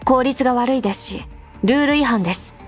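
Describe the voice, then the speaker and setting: A woman voicing an earnest, rule-bound young female adjutant in an anime game, speaking formally and politely with quiet care. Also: high voice, a little fast, lightly acted. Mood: prim, concerned. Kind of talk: Japanese anime game voice lines, intimate delivery. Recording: background music under the voice. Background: music.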